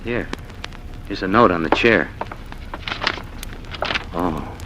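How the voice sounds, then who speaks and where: A resolute, scheming man in 1940s radio drama style. He speaks tersely and insistently in a low voice, with faint noise on the vintage recording. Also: animated, slow, highs cut off. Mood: tense. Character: cold, resolute scheming nephew, impatient with doubt.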